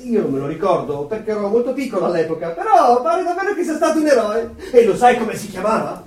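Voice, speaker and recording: An actor playing a chatty, nosy, excitable neighbour in a radio play, gushing in a sing-song way. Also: male voice, high-pitched, quick pace. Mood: chatty, excited. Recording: distant, echoey room.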